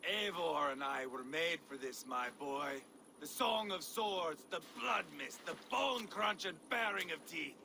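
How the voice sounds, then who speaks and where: An actor playing a sadistic, gleeful middle-aged Norse warrior in a video game, gravelly and theatrical, taunting and unstable. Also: male voice, animated, a little slow. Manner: theatrical, swinging from low menace to roaring. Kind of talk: dramatic video game acting. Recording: noisy game ambience behind the voice.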